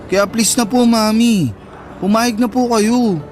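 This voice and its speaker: An affectionate, respectful young son in a radio drama. His voice is high for a man, earnest and cajoling. Light music plays behind him. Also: fairly even intonation, slow, darkish tone. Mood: hesitant pleading. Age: young adult. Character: affectionate, coaxing grown son, respectful and eager. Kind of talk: Tagalog radio drama acting. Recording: music bed under the voice.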